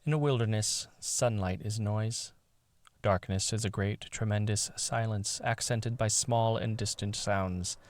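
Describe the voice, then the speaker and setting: Male storyteller, theatrical and deliberate, lowish voice, slow pacing for literary fiction. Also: gravelly, darkish tone. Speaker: narrator. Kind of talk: dramatic literary story narration.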